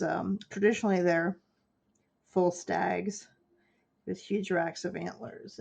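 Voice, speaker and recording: A woman's voice: mid-range voice, creaky, a little mellow. A woman with a stuffy nose from a cold, chatting casually and explaining her steps as she paints for a live stream. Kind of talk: casual chat while painting.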